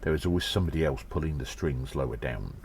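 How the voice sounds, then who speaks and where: A man playing an earnest undercover gnome agent in an audio drama, reporting in a measured, halting, formal and conspiratorial way. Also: very deep voice, a little mellow, slight background noise. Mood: thoughtful, conspiratorial. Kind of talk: first-person audio drama monologue, spoken report.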